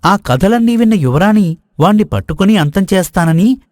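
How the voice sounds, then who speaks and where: A man acts out a prince's companion in a storybook drama. He is a knowing, eager tale-teller with a notably high male voice, recorded dry and close. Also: animated, normal speed, darkish tone, dry recording. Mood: intriguing, explanatory. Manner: explanatory storytelling. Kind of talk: dramatized moral story dialogue.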